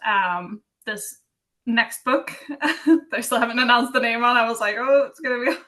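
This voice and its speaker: A woman's voice: on the high side, normal speed, fairly bright tone. A young adult woman from Sweden, an author chatting casually in a podcast interview, giggling often and using many fillers. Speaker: author guest.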